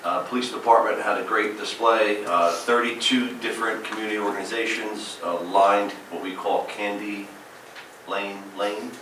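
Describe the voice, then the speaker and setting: Upbeat mayor in an echoey chamber. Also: male voice, on the low side, steady tone, normal speed, sharp tone, a little hiss or hum. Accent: New Jersey. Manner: upbeat, listing events with frequent "uh" fillers. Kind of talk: council meeting report. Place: large council chamber, indoors. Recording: echoey council chamber from far off.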